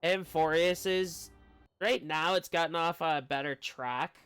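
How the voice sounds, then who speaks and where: High-pitched, clear-voiced man streaming. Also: a little slow, a bit bright. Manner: chatty, rambling, breaks off mid-sentence. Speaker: game streamer. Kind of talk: casual live stream commentary. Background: faint game music.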